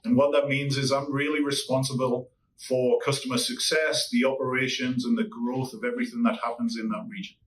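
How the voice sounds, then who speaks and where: A man from England with a Newcastle accent, a consulting executive giving measured interview answers with frequent ums and restarts. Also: mid-range voice, little pitch movement, far from the mic, slight background noise, low-quality recording. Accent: Newcastle English.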